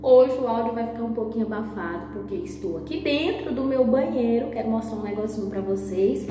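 A woman in her thirties, hoarse and nasal from a sore throat, talking softly to a phone camera for her vlog in a small bathroom. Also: fairly quick, slight background noise. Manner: speaking softly, low voice. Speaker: vlogger. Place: small tiled bathroom indoors. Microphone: phone camera at arm's length. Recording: muffled echoey bathroom.

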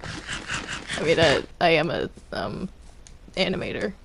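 A woman's voice: low voice, sing-song, takes it slowly, creaky, noisy background. A young woman playing a character in a game roleplay, talking casually and stammering when flustered. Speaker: roleplay player.

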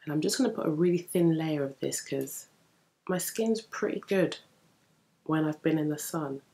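Young female beauty vlogger, deep gravelly morning voice, chatty upbeat tutorial talk, camera mic indoors. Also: normal speed, mellow. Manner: chatty, upbeat, explaining while applying makeup. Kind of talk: casual makeup tutorial chat. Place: indoor room in front of a bookshelf. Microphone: camera at desk distance.

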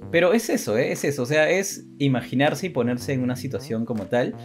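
A young man chats casually as a podcast co-host, with music behind him. His voice sits at a middling pitch. He rambles hesitantly and circles back over his own phrases. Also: animated, fairly quick. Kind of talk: casual chat. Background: background music.

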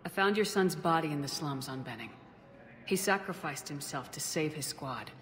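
A woman's voice: sits low, lively intonation, normal speed, fairly bright tone. A resolute female military commander from a video game. Her delivery is firm and earnest with compassion underneath, and the voice rings in a long reverb. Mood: solemn. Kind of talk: video game cutscene dialogue.

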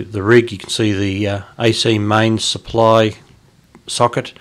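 Man, fifties, fairly deep pitch, informal tutorial, pauses mid-phrase. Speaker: host of an amateur radio hobby video. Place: small indoor radio room at home.

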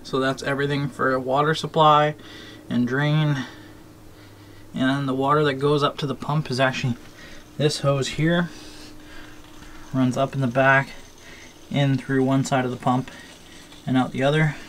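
A man's voice: medium pitch, flat delivery, measured pace, long pauses, a bit bright. A man giving a casual, hands-on how-to narration as a DIY video host. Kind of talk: casual how-to narration of a trailer plumbing setup. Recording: clear background noise.

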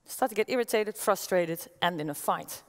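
A woman in her forties with a Dutch accent, giving an energetic conference keynote on a stage, talking through a headset mic. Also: lowish voice, brisk. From Netherlands. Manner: energetic, gestures as she walks the stage. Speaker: keynote speaker. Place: large auditorium stage with audience. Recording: long reverb of a large hall.

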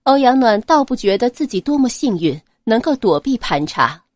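A woman narrating a serialized audio novel in a smooth, expressive storytelling manner. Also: on the high side, moderate pace, dry recording, clean studio sound.

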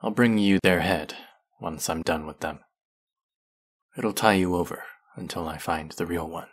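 A man playing a bitter, menacing fantasy villain in an audio roleplay, with a gravelly, husky voice and a sardonic edge. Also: low-pitched, exaggerated voice. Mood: grieving, solemn. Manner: menacing, sardonic, slow and deliberate. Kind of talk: dramatic audio roleplay acting addressed to the listener.

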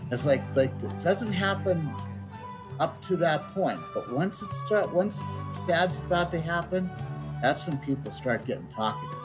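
A middle-aged man livestreaming a game, chatting casually in a rambling, halting way and reading out on-screen text. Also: on the high side, poor audio. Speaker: game streamer. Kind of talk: casual livestream chat and read-out. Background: game music.

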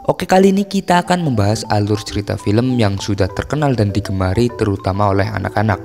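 A man's voice: lowish voice, quick pace, gravelly, a little mellow. A man casually narrates a film plot from a script as a recap host. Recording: long reverb tail with music underneath. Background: background music.

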